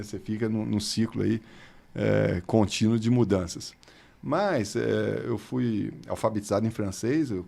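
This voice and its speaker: A Brazilian man in his fifties with a gravelly, creaky voice, chatting as an interview guest. He talks in a relaxed storytelling way and laughs often. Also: lowish voice. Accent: Brazilian Portuguese. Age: 50s. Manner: chatty, laughs often while telling stories. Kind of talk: casual interview chat.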